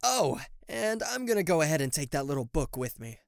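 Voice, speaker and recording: A man's voice: on the high side, sing-song, brisk, gravelly, dry recording, clean studio sound. A man playing a cocky, easily flustered king of hell in an ASMR roleplay, sarcastic, indignant and theatrical, speaking to the listener. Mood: incredulous, mocking.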